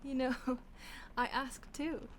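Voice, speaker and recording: A woman voicing a mocking, predatory female vampire stalker who talks down to her victim, soft-spoken and slipping into whispers. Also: high voice, steady tone, takes it slowly, a little hiss or hum. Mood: wistful. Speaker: audio roleplay voice actor. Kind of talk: audio roleplay acting.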